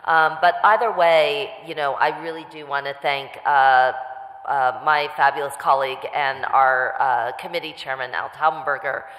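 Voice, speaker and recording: Councilwoman talking at a hearing, real low voice, lots of ums, echoey hall. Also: steady tone, a bit bright. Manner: measured, frequent fillers. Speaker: city councilwoman. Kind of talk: remarks at a public hearing. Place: city council hearing room. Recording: echoey council chamber with long reverb.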